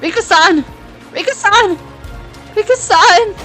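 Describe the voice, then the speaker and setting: A woman's voice: very high-pitched, slow, a bit bright, faint music behind, heavily acted, rough recording. A woman voicing a shrieking frantic teenage girl. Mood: frantic rage. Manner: shrieking, sobbing repetitions. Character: frantic grief-crazed teenage girl, violent.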